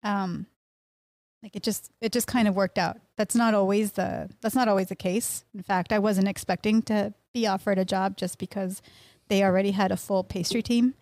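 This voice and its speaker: A woman's voice: medium pitch, steady tone, moderate pace, darkish tone, no echo. A middle-aged woman from Miami, born in Mexico, talking casually as a podcast guest, close on a studio microphone. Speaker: podcast guest, pastry chef and bakery owner. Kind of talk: casual conversational interview. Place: small indoor podcast room. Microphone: close studio mic on boom arm, headphones.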